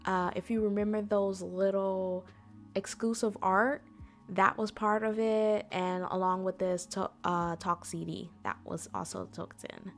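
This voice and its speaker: A woman's voice: mid-range voice, level tone, unhurried, gravelly, soft background music. A woman hosting an informal explainer talk in a chatty, conversational way, speaking directly to her listeners. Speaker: convention panel host.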